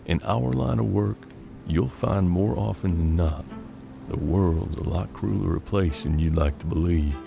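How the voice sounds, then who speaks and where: An actor playing a seasoned, gruff frontier detective in a western audio drama, measured and world-weary, with a gravelly voice. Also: male voice, low voice, over music, heavily acted.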